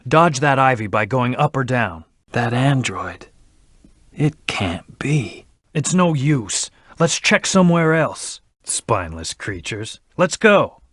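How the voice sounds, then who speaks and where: A man's voice: mid-range voice, sing-song, takes it slowly, fairly bright tone, dry recording, studio quality, exaggerated voice. A man voicing a brooding, terse hedgehog antihero in a video game, with a serious, clipped, commanding delivery. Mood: urgent, commanding. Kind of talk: video game character voice lines.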